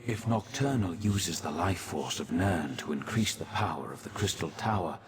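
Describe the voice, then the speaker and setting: An aloof, cerebral sage in a fantasy game. His deep voice is measured and analytical, with a clear murmur of voices behind it. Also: expressive, crowd noise. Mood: detached, pondering. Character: calm, calculating ancient male sage, aloof and cerebral. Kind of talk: fantasy video game dialogue.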